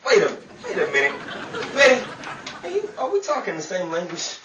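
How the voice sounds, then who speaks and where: A man playing an eager, easily excited, bumbling suitor in an old-time radio comedy, speaking in hesitant exclamations. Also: high voice, a little fast. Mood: eager, flustered. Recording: roomy, distant mic with noise and crowd.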